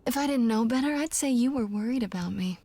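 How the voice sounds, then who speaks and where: An actress playing a young heroine in a video game, blunt and probing, talking in a direct, conversational way. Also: female voice, on the high side, fast talker, mellow, dry recording. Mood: wry. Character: young woman Grace, blunt, probing, guarded newcomer heroine. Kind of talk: video game dialogue acting.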